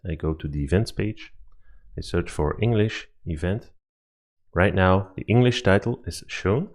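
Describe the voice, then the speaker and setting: Low-pitched male presenter, Dutch native speaker, plain walkthrough delivery for a software tutorial. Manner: plain step-by-step explaining with slight non-native phrasing. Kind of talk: screen-recorded software walkthrough.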